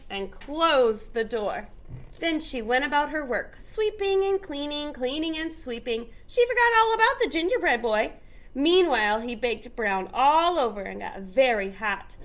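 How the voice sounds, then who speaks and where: A woman's voice: sits high, a bit bright, slight background noise. A woman reading a children's story aloud expressively, with emphatic stretched phrases and little explanatory asides. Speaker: storybook reader.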